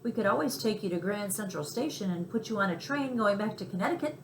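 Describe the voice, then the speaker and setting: A woman voicing a brash, friendly, streetwise male mouse in a storybook, talkative and a little squeaky. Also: expressive, fast talker, close to an everyday voice. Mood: friendly, chatty. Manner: talkative, scornful of snobs, city slang. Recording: roomy echo, faint background noise.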